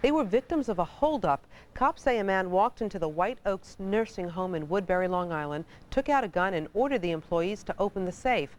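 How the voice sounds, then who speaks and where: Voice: mid-range voice, expressive, fairly quick. A news anchor with an American accent reading a scripted television bulletin.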